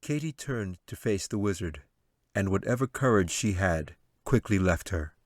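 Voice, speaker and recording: A man with a low voice narrates a fantasy adventure as its author. The recording is dry and close, with a scripted storybook delivery. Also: expressive, normal speed, no echo. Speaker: author reading own audiobook.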